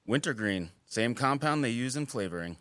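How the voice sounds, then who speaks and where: Male actor as guarded wildlife biologist, dry teasing wit, expressive delivery, close dry sound, naturalistic audio drama. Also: mid-range voice, moderate pace, clear, resonant tone, dry recording, close to an everyday voice. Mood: matter-of-fact. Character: wry, guarded wildlife biologist, earnest about protecting habitat.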